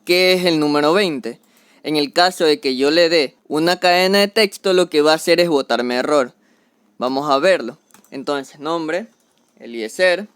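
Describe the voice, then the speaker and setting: Clear young man teaching code. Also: on the high side, fairly bright tone, no echo. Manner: step-by-step explanatory, talks through each action. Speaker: programming tutor. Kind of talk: screen-recorded coding tutorial.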